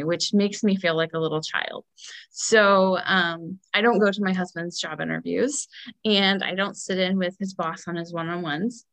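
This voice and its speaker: A woman's voice: lowish voice, vocal fry, a bit bright, dry recording, poor audio. A woman hosting a conversational interview, asking questions and candidly sharing her own experience. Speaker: podcast host, interviewer.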